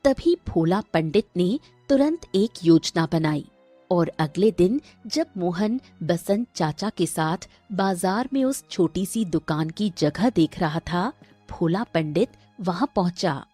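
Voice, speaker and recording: A woman reads cartoon story narration at an unhurried pace. Her voice is mellow and a little husky, with music playing underneath. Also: fairly low pitch, expressive, no room sound.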